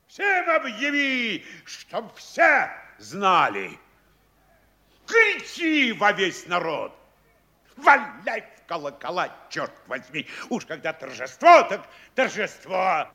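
A gloating provincial mayor in a classic comedy radio play. His voice is fairly high for a man and swoops widely as he blusters and exclaims. He takes his time, savoring each boast and threat. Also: wildly swooping pitch, takes it slowly, sharp tone, full character voice. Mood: gloating, threatening. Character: boastful, gloating provincial Russian town mayor, middle-aged family man. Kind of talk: classic Russian stage comedy audio play.

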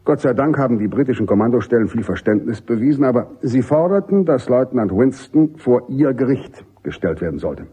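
An actor playing a middle-aged American army general in a German radio drama, jovial, authoritative and emphatic. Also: male voice, mid-range voice, lively intonation, brisk, hardly any pauses, dark tone, slightly rough. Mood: firm, insistent. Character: commanding American army general, genial but insistent.

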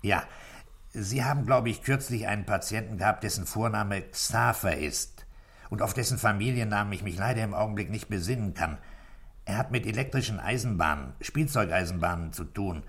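Calm police commissioner, lowish voice, measured and polite, old radio play. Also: male voice, breathy edge. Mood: courteous, measured. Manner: measured, matter-of-fact, courteous. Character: composed, patient police commissioner, probing and courteous. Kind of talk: 1960s German radio play acting. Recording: vintage 1960s radio drama recording.

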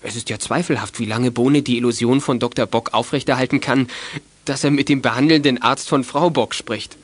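An actor narrating a children's detective audio drama as a composed storyteller, in scripted storybook style. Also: male voice, mid-range voice, steady tone, a little fast, no echo, lightly acted. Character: composed male storyteller of a youth detective tale.

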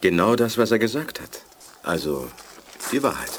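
A frank male telepath in a German radio drama from the eighties. His lowish voice swings in pitch and is dry and touchy, over clear noise with clicks. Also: big pitch swings, normal speed. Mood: matter-of-fact. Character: frank, easily affronted young telepath, human man. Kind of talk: 1980s German radio play acting.

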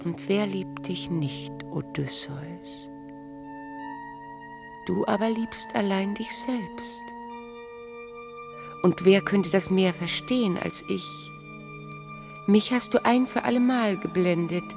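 A proud, knowing, mature wife in a radio drama. She speaks slowly and deliberately with a creaky edge, irony cutting through each phrase. Faint music sits behind her. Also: mid-range voice. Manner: measured, ironic, deliberate. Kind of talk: radio play acting, literary dialogue.

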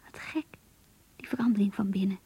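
An actress in a radio play voicing an earnest, introspective young woman who confides in her diary in an intimate, breathy voice. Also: mid-range voice, fairly even intonation, fairly quick, mellow, no echo. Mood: wistful, troubled. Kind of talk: radio drama diary monologue.

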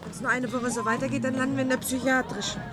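A high-voiced, weary wife in a dystopian radio play, acted by a woman. She pleads and reproaches with frayed emotion. Music runs behind her. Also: level tone, fast talker, close to an everyday voice. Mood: pleading, irritated. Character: exhausted, pleading young wife in a dystopian future. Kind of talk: German science-fiction radio drama acting.

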